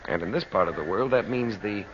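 An actor playing a calm, authoritative American uncle and adventurer in a measured old radio drama style. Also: male voice, lowish voice, a little hiss or hum. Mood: quietly serious. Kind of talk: 1940s radio acting. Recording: dull old radio recording with music.

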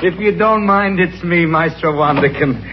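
An actor playing a pompous, penniless music maestro in a 1950s radio sitcom, stammering when caught out. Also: male voice, fairly high pitch, moderate pace, slight background noise, natural voice. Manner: pompous, then sheepish, with comic stammers. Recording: dull old radio broadcast with studio audience.

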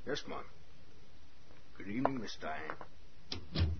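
A man's voice: low-pitched, lively intonation, takes it slowly, highs cut off. An actor playing a big, lonely, deferential handyman with a sinister edge, in 1940s radio drama style, on a noisy old broadcast.

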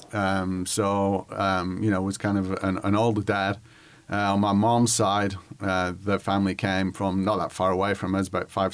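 A man in his forties with a Northern English accent, talking thoughtfully and haltingly as he recalls his background in an interview. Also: low-pitched. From northwest England, near Manchester. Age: 40s. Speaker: interview guest, history professor. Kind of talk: conversational interview, recollection.

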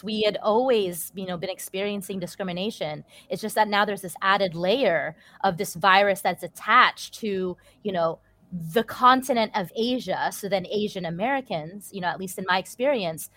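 Woman, medium pitch, articulate, assertive, earnest panel discussion, dry recording. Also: a bit bright, dry recording.

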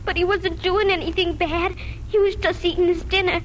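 A woman's voice: very high-pitched, flat delivery, moderate pace. A young female performer playing a tender-hearted, plaintive little farm girl in a 1940s radio drama. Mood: plaintive, guilty. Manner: earnest, plaintive. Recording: noisy 1940s radio recording.

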